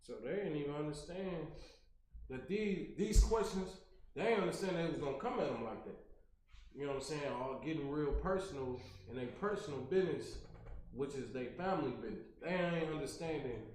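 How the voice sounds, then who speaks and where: A man's voice: fairly high pitch, lively intonation, fairly quick, a little mellow. A man leading a Sabbath scripture service, talking casually and often checking in with "you know what I'm saying". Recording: very echoey room with noticeable noise.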